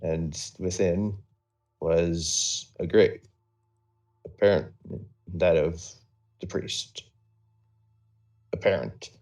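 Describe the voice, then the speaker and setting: A man with a very deep voice tells a story off the cuff for a live stream. He goes slowly and haltingly, measuring out phrases and digressing as he goes. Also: steady tone, darkish tone, soft background music. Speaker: storyteller recapping a game server roleplay.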